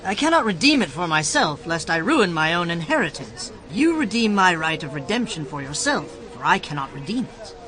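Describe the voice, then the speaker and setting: An actor playing a self-interested townsman, brisk and emphatic, in a dramatized scripture reading. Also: on the high side, very animated, bright, forceful tone. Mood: reluctant. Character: male close relative, self-interested townsman.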